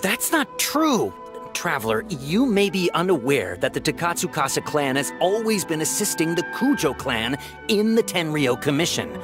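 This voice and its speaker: A man's voice: on the high side, very animated, normal speed. Young policeman for a game: pushy, speculative, sure of his theories, over audible music. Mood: insistent. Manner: insistent, speculative, emphatic. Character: opinionated younger policeman, insistent conspiracy theorist. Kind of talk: video game voice acting, dialogue.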